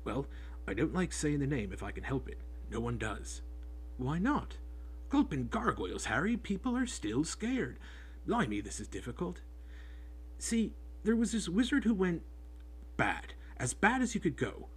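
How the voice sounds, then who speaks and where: A man reading an audiobook, voicing a big rough-spoken groundskeeper who talks in homely, clipped speech. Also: on the high side, sing-song, measured pace, pitched above the performer's own voice, exaggerated voice, poor audio. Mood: worried storytelling. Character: big rough-spoken male groundskeeper, gruff but kind. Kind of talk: audiobook character voice.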